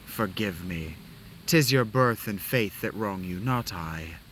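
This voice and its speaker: Man putting on a stern male captor, dry and mocking, slow formal speech, low bright voice. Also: animated, a little hiss or hum.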